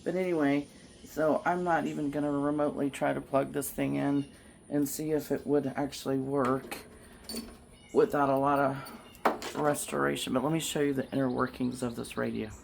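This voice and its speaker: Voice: medium pitch, flat delivery, normal speed, gravelly, a little hiss or hum. A vlogger who has lost their voice to illness, chatting casually while showing an old find and thinking aloud. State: hoarse from illness. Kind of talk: casual show-and-tell chat. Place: outdoors by a home.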